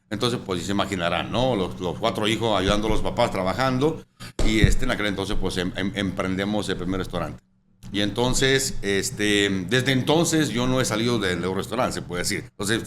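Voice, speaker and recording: A man's voice: sits low, a bit bright. A man in his forties from Italy with an Italian accent, a chef and restaurant owner talking casually in an interview, rambling with lots of fillers and tag questions. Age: 40s. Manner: rambling, full of fillers and "¿verdad?". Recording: noisy room ambience.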